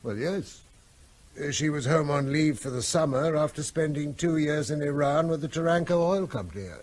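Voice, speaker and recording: A man's voice: on the high side, moderate pace, natural voice. A man playing a subdued grieving father. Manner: subdued, quiet, hesitant. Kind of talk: radio drama acting.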